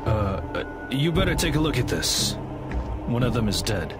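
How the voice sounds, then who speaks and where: A man's voice: medium pitch, very animated, normal speed, over loud music, natural voice. A man voicing a blunt, wary monk warrior companion in a video game, with a casual delivery. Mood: wary, matter-of-fact. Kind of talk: dramatic video game voice acting.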